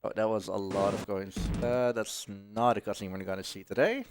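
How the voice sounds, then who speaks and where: A man's voice: fairly low pitch, steady tone, moderate pace. A man who is not a native English speaker giving casual, rambling live commentary on his own speedrun, with wry asides. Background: video game music.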